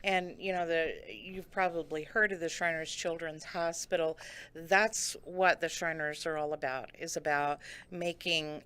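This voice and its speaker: A woman's voice: deep voice, steady tone, fairly bright tone, smooth. A woman hosting a local radio interview, friendly and affirming as she asks her guests questions. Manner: friendly, affirming, quick to laugh. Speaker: radio host.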